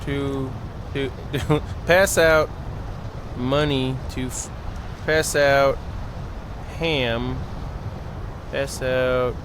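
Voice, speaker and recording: A man's voice: mid-range voice, fairly even intonation, drawn-out words, long pauses, background noise. A man hosting a gaming video, reading narration aloud with playful, jokey improvised asides.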